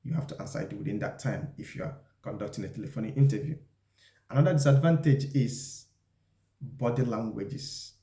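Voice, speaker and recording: A man presenting an instructional talk, explaining things deliberately and speaking straight to the viewer. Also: mid-range voice, expressive, warm tone. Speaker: video presenter giving job interview advice. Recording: echoey, roomy sound.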